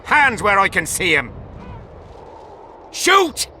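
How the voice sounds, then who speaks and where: Loud, sharp-voiced hostile camp guard. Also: male voice, high voice, wildly swooping pitch, slow, a little hiss or hum, exaggerated voice. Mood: hostile, barking. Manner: coarse, sneering, barking orders. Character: gruff, foul-mouthed, hostile camp guard soldier. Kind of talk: video game voice acting.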